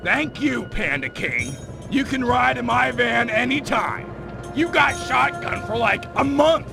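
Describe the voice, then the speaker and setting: A high, creaky cartoon sidekick. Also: male voice, lively intonation, measured pace, heavily acted. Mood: grateful, excited. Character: big-hearted, excitable male cartoon sidekick. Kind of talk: video game cartoon dialogue. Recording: music behind the voice.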